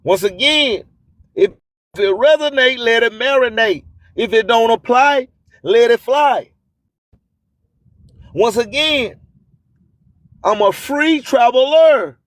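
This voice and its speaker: A man's voice: extremely high pitch, animated, takes it slowly, long pauses, fairly bright tone, no room sound. A man hosting an internet radio show, delivering a motivational spoken-word monologue in a preachy manner full of repeated, rhyming catchphrases.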